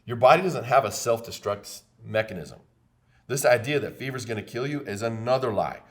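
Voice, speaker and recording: A man's voice: medium pitch, a little fast. A man, hosting a casual health podcast in an emphatic, preachy way and often repeating himself. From Texas. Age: 50s. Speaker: podcast host, chiropractor.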